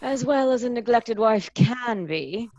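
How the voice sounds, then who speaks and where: A woman playing a loyal English gentleman friend in a hearty, frank manner in a drama reading. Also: on the high side, animated, measured pace, lo-fi sound. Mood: friendly, frank.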